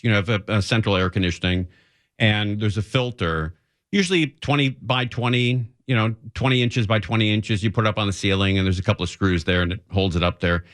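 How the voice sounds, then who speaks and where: A man's voice: on the low side, brisk, fairly bright tone, dry recording, clean studio sound. A man hosting a radio talk show, giving off-the-cuff, opinionated commentary in a conversational way and repeating phrases for emphasis. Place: radio studio.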